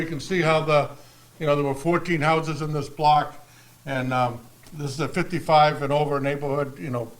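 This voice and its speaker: Halting man at a town panel. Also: on the high side, low-quality recording. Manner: halting, many ums and restarts. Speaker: town board member at a panel. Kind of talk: public panel talk. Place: library meeting room.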